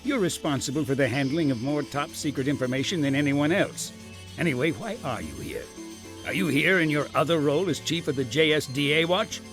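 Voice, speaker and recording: An actor playing a stern, probing older security chief in an anime game dub, formal and pointed. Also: male voice, a little high, expressive, fairly quick, music in the background.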